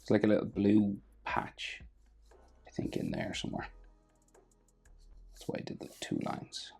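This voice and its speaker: An Irish man with a gravelly, husky voice, casually talking through a drawing lesson step by step and trailing off quietly as he works. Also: lowish voice, measured pace, faint music behind. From Ireland. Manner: relaxed, thinking aloud while drawing, trailing off quietly. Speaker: drawing tutor.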